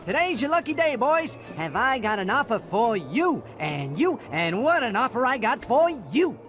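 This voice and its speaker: An actor voicing a slick male animal salesman with smooth, pushy patter in a video game cutscene. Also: mid-range voice, big pitch swings, moderate pace, close to an everyday voice. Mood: pushy, jovial. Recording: music under the voice.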